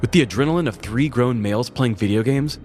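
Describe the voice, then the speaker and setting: A man's voice: medium pitch, fast, darkish tone, faint music behind. A man, a podcast host, narrating a scripted comic story in a wry, dramatic storytelling manner.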